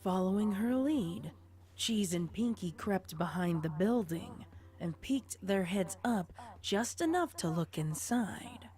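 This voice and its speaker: A woman's voice: mid-range voice, expressive, takes it slowly, a little mellow. A woman narrating a scripted story as an audio drama narrator.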